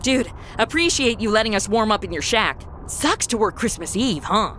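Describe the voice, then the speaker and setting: A woman's voice: medium pitch, lively intonation, normal speed, a bit bright, a little hiss or hum, lightly acted. A woman playing a sassy, flippant young guardian angel in a comedy audio drama, talking in blunt, sarcastic modern slang.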